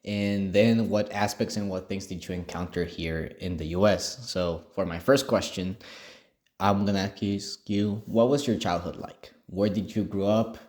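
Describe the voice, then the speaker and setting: A man's voice: deep voice, steady tone, measured pace, darkish tone. Young man interviewing his uncle. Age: young adult. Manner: casual, many filler likes and restarts. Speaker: student interviewer. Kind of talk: class project interview.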